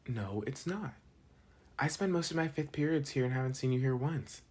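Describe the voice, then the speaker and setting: A young man playing a protective, teasing but soft-hearted school delinquent in an intimate, casual ASMR boyfriend roleplay. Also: medium pitch, lively intonation, normal speed, lightly acted.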